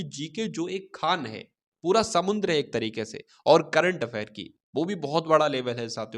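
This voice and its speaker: A man with a somewhat high voice hosts an exam-prep update. He explains things in an instructional way, addressing his viewers directly and often. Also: a bit bright. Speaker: exam-prep channel host. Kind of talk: informational talk to exam aspirants.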